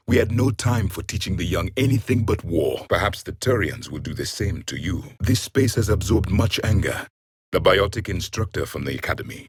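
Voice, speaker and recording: An ancient alien soldier, the last of his people, in a video game. The voice is low and rough, swinging widely in pitch as he hands down grim, haughty judgments. The phrasing is formal and clipped, full of scorn. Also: no echo, studio quality. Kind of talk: video game character voice lines.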